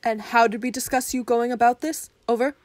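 An actress playing a businesslike, exasperated police surveillance handler in a comedic radio play. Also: female voice, fairly high pitch, fairly even intonation, fairly quick, a little mellow, no room sound, natural voice.

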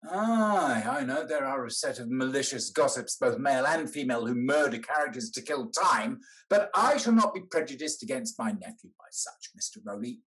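A man acting a blunt, hearty old English gentleman in a period stage comedy, emphatic and wry. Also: medium pitch, expressive, moderate pace, hardly any pauses, natural voice, rough recording. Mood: indignant, firm. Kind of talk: theatrical period comedy acting over video call. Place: indoors, on a video call.

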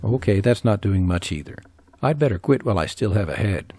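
A man's voice: on the low side, lively intonation, brisk, mellow, slight background noise. A man narrating his own tree-cutting video in a scripted voice-over, with dry folksy humor.

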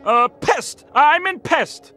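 A man's voice: very high voice, lively intonation, slow pace, bright, forceful tone, full character voice. A man voicing a pompous, aggressive hunter in a cartoon game, theatrical and blustering, with an upper-class English accent. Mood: menacing, blustering. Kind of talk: animated comedy game cutscene acting. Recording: music behind the voice.

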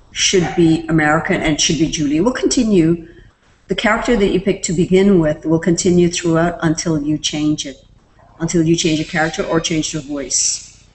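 Female tutorial presenter, low voice, easygoing step-by-step delivery, light background hiss. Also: poor audio. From Canada. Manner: relaxed, step-by-step explaining with fillers. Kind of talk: screen-recorded software tutorial.

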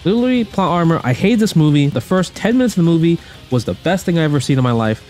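A man's voice: on the high side, very animated, quick pace, a little mellow, faint music behind. A man, around his thirties, giving casual opinionated commentary indoors with emphatic, repetitive, slangy phrasing. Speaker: guest commentator ranking movies. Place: indoor room at home.

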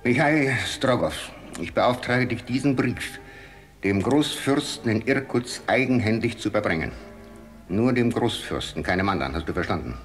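A man plays a grave Russian Tsar in a radio play. The voice is low, formal and imperious, in measured phrases, with faint music behind. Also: lively intonation, natural voice. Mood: commanding. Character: solemn, commanding Russian Tsar, a grave male monarch. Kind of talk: German radio play acting.

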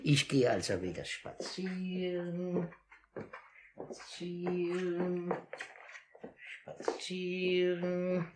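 A man's voice: high-pitched, very slow, pauses often, darkish tone, slight background noise. A man playing a sly, fawning old master thief, cackling and singsong, in a 1950s German radio drama. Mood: playful, sly. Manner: theatrical, cackling, repeats words in a singsong.

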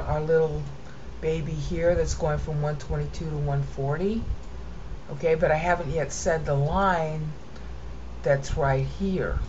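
Low-voiced American woman chanting Greek. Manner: explains then chants text in rhythmic cadence. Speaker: Bible teacher. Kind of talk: screen-share lesson with chanted reading. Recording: dull lo-fi audio with steady noise.

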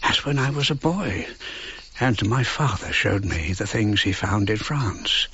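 An actor playing an elderly archaeologist narrating his memories in a radio drama, reflective, in short halting phrases. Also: on the low side, expressive, sharp tone, breathy edge, no room sound, lo-fi sound, full character voice. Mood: wistful, wondering. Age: older. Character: elderly British archaeologist looking back on his life.

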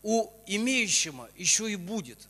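A middle-aged man delivers a sermon in a high, forceful voice. He exhorts in short bursts, leaving gaps for translation, and his words ring through a big reverberant hall. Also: big pitch swings. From Ukraine. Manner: exhorting, pausing after short phrases. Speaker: preacher. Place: church auditorium stage. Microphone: handheld microphone. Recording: long hall reverb from church PA.

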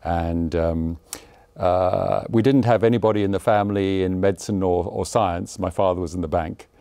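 Older academic man, studio interview, lapel mic, dry room, deep and warm. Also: steady tone, normal speed, no room sound. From Zimbabwe and Malawi. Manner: self-deprecating, thoughtful, frequent hesitations. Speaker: cardiology professor, interview guest. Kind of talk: relaxed conversational interview. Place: studio set with a blue backdrop.

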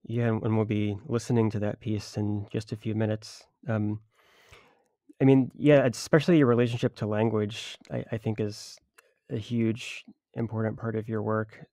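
A man hosts a music interview, feeling his way through long questions with restarts and fillers. His voice is low, dark and gravelly with a flat delivery, and it is recorded close and dry. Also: moderate pace, no room sound. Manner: rambling, self-correcting questions. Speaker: podcast host, interviewer.

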